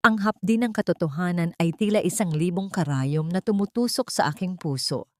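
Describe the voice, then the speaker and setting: A Filipino woman narrates a scripted drama in the first person. Her voice sits low and is recorded dry, with no room around it. The delivery is solemn and deliberate, with theatrical weight. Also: darkish tone, no echo. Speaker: audiobook narrator.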